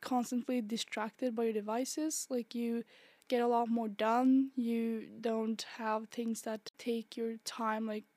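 A woman with a stuffy, congested nose hosts a solo podcast, her fairly high voice staying flat and even. She rambles casually with fillers and restarts. The sound is dry and close. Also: takes it slowly, no echo. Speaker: podcast host.

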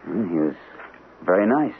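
Deep-voiced, shaken army captain. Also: male voice, sing-song, normal speed, vocal fry, full character voice. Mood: shaken, insistent. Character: troubled army captain, a sanitarium patient, earnest and shaken. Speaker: radio actor, also narrating in character. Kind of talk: old-time radio mystery drama acting. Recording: old radio broadcast, narrow tinny band, hissy.

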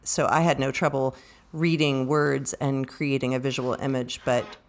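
A woman co-hosts a book discussion in a conversational style. She speaks in halting phrases, building her thought piece by piece. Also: extremely low pitch, little pitch movement, slight background noise. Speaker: book discussion podcast co-host.

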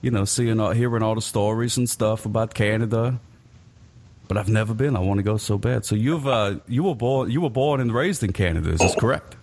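A man's voice: on the low side, brisk, a little mellow, a little hiss or hum. A man hosting a podcast in a casual, rambling, jokey way, with plenty of fillers and restarts. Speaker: podcast host. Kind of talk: casual chat.

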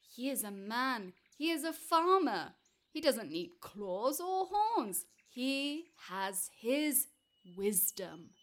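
A powerful, patient storybook buffalo, voiced by a woman storyteller. It explains in a slow, knowing way, leaning firmly on the key words. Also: fairly high pitch, sing-song, fairly bright tone, voice pushed up, heavily acted. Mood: knowing, emphatic.

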